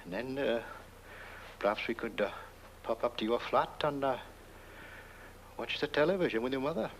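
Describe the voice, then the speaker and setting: A man's voice: mid-range voice, moderate pace, lightly acted, rough recording. An actor playing a timid, bashful young policeman with a British accent and a hesitant, bumbling manner. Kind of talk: comic film acting. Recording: old film soundtrack, faint noise.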